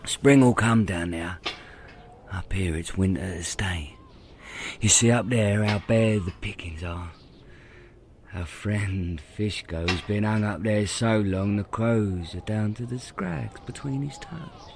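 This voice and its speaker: An actor playing a weary, coarse, sardonic castle jailer with wry dark humour in an audio horror play. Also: male voice, fairly low pitch, takes it slowly, a little hiss or hum. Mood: sardonic, grim.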